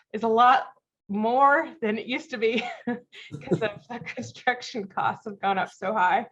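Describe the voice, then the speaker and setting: A woman with a congested voice from a cold and a slight cough, presenting a software webinar in a friendly, explanatory way with frequent ums. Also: mid-range voice. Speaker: company vice president presenting a software webinar. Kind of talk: live product demo webinar. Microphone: video call mic. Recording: dull lo-fi video call audio.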